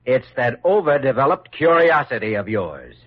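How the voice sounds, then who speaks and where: Young hospital doctor, wry, earnest, animated, dull vintage radio drama sound. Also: male voice, medium pitch, normal speed, slightly rough. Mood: wry teasing. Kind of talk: 1940s radio acting.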